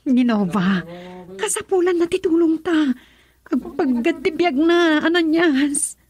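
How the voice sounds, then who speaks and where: A woman's voice: very high voice, expressive, a little slow, darkish tone. An actress playing a distressed young woman in a radio drama, confessing and pleading urgently. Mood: guilty, pleading.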